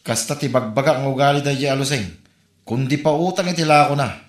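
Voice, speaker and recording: A man with an Ilocano accent, playing a calm husband who gives his wife practical advice. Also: medium pitch, a little fast, roomy sound, natural voice. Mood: advising. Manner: steady, advising.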